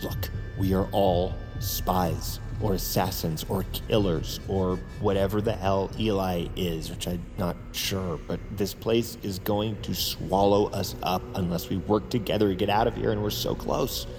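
An actor playing a frantic, wisecracking leader of an escape, rambling and stammering in a naturalistic audio drama. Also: male voice, sits low, steady tone, a little slow, hardly any pauses. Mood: pleading. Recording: music underneath.

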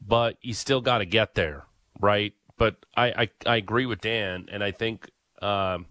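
A man on a sports radio show who speaks on the low side. His delivery is dry and close to the mic. He argues his points with wry, opinionated musing. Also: a little slow, pushed and bright, no echo. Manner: opinionated, thinks aloud, wry. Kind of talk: sports talk radio chat.

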